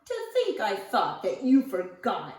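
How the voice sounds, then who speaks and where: A woman's voice: mid-range voice, huge pitch swings, unhurried, darkish tone, voice pushed down. A woman voicing a delighted, astonished mother in a children's storybook, exclaiming and gushing. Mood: delighted surprise. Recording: roomy echo, distant microphone.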